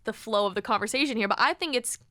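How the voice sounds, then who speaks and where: A woman's voice: on the high side, fast, sharp tone, no room sound. A woman hosting a podcast in casual conversation, talking enthusiastically and affirming her guest. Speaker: podcast host. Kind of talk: casual chat, interviewing.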